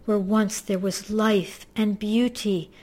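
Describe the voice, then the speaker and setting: A woman's voice: mid-range voice, fairly even intonation, takes it slowly, a little mellow, voice pushed up. A woman voicing an urgent, squawky toucan who gives insistent warnings in a storybook. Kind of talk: storybook character voice.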